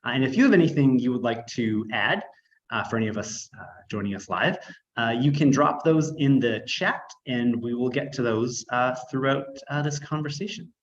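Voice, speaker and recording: A man hosting a live online artist talk, pausing often with filler words. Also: medium pitch, expressive, highs cut off, slight background noise. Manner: hesitant, frequent filler words. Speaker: arts council host.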